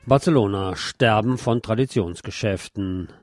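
A man's voice: lowish voice, measured pace, a little mellow, no room sound, studio quality. A man presenting the news, reading scripted reports. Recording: music under the voice.

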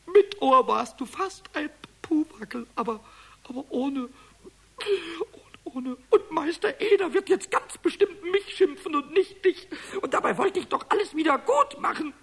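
A cheeky little kobold with a squeaky, very high voice that leaps all over the place. The creature is childlike, clumsy and excitable, stammering and repeating words, in the manner of a children's radio play. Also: male voice, exaggerated voice, low-quality recording. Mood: tearful, lamenting.

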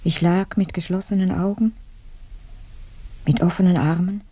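A woman acting in a German radio play as a grieving, sensual dead woman, murmuring dreamily with a breathy half-whisper. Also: lowish voice, fairly even intonation, normal speed, lo-fi sound, natural voice. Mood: dreamy, sensual.

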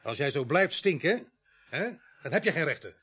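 An actor in a radio play voicing a stern, sardonic police sergeant who swings from quiet coaxing to barking. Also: male voice, a little high, big pitch swings, moderate pace, husky, heavily acted. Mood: threatening, sardonic.

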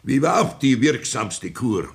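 Pompous lecturing old country doctor, 1960s radio drama, mid-pitched. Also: male voice, sing-song, normal speed, vocal fry, breathy edge, exaggerated voice. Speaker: Sanitätsrat. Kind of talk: 1960s radio play acting.

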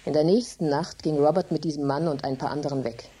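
A woman's voice: low-pitched, fast talker, husky. An actress playing a grief-stricken, embittered poor farm widow, telling her story intensely and dramatically in a radio play. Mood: sorrowful, bitter. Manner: intense, dramatic, emphatic storytelling with hushed drops. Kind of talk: German radio drama monologue.

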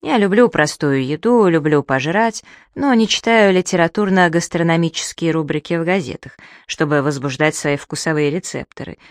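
A woman's voice: low-pitched, normal speed, hardly any pauses, dry recording, studio quality. A woman narrating an audiobook in the first person, with a conversational, emphatic and ironic delivery.

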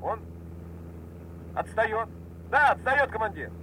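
A man's voice: sits high, animated, takes it slowly, bright, forceful tone, a little hiss or hum, full character voice, poor audio. An actor playing a chatty, slightly impudent but obedient airman in a bomber crew, in a radio war drama. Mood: eager, cheeky. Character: cheeky, eager male bomber gunner, deferential airman. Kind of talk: Soviet radio drama acting.

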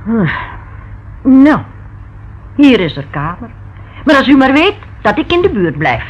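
Fussy, animated landlady. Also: a little high, measured pace, slightly rough, full character voice. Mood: reluctant. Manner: fussing, insistent. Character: fussy, protective, stubborn married landlady. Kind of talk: Dutch radio play acting. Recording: dull old radio recording with noise.

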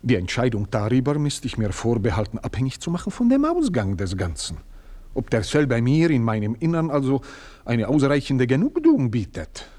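A man's voice: mid-range voice, very animated, moderate pace, dark tone, lightly acted. A man playing a pompous wounded manservant. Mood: scheming. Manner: stilted formal speech, swings into outraged outbursts. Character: proud indignant middle-aged male manservant, pompous and wounded. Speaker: servant. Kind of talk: radio play acting.

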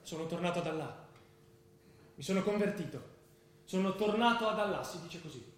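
Troubled young convert, earnest and solemn, slightly high male voice, heavy echo, stage-drama delivery. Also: lively intonation, normal speed, heavy background noise. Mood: solemn, defensive. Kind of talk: theatrical radio drama acting.

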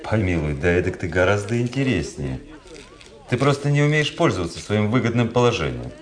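An actor playing a sardonic, self-assured young officer in a radio drama, speaking with teasing irony. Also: male voice, fairly low pitch, big pitch swings, brisk. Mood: teasing, ironic.

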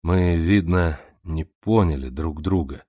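Man playing a lazy mocking rebel guy, very low voice, drawls, dry sound. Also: slow pace, husky, dry recording, full character voice. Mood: calm. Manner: drawling, mocking, then gentle. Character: weary ironic male revolutionary, lazy drawl.

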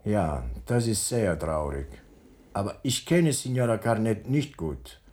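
Guarded businessman in radio crime drama; low, mellow voice, clipped phrases. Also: big pitch swings, unhurried. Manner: clipped, simple broken phrasing. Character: guarded foreign businessman, sick in bed with a cold.

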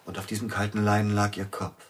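An actor playing a grieving pianist and widower in a radio drama, speaking slowly and probingly, often sinking to a near whisper. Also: male voice, fairly low pitch, monotone, husky.

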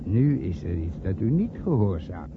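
An actor playing a resentful chauffeur in a radio play, outwardly servile, dry and sarcastic. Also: male voice, sits low, sing-song, normal speed. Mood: bitter. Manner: dry, grumbling, mock-polite. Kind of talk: radio play acting in Dutch. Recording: noisy, muffled old radio recording.

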